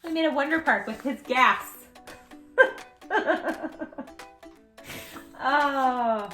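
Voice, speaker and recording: A woman hosts a children's storytime in a fairly high voice. She reads expressively and playfully, speaking straight to her young listeners. The room around her is noticeably echoey. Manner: animated, playful, talks directly to young listeners. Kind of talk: expressive picture-book read-aloud for children.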